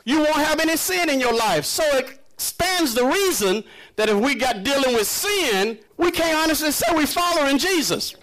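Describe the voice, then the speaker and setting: Male preacher, very high-pitched, emphatic and conversational sermon delivery with challenging rhetorical questions. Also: expressive, normal speed, a bit bright, poor audio. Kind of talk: impassioned sermon to a congregation.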